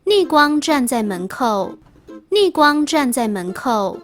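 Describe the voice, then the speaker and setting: 語調起伏很大的女聲朗讀. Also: female voice, high voice, very animated, slow pace, faint music behind. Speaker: quiz question reader. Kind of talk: slow expressive reading.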